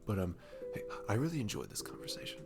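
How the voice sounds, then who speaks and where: A man acts out a sweet young barista in an intimate ASMR roleplay. His voice is low with plenty of vocal fry. He rambles hesitantly and pokes fun at himself, with music clearly playing behind him. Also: big pitch swings, fast. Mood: shy, sweet. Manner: rambling, self-deprecating, giggly, hesitant. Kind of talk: intimate ASMR roleplay, soft improvised-sounding chat.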